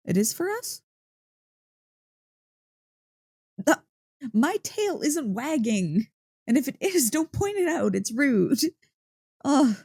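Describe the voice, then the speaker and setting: An excitable, affectionate dog-girl , played by a woman in an ASMR roleplay. Her voice is soft and mellow but swings widely in pitch as she teases and gets flustered. She takes her time, speaking close into a dry mic as if to her partner. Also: breathy edge, no echo, exaggerated voice. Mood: flustered, eager. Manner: playful, expressive, mock-indignant teasing. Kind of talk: ASMR roleplay, intimate one-sided dialogue. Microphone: close, dry microphone.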